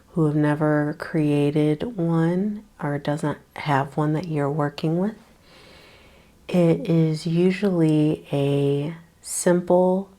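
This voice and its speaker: Slow, soft-spoken woman guiding meditation. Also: fairly low pitch. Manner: slow, soft-spoken, with long pauses and breathy trailing words. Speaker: yoga nidra teacher. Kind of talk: guided meditation.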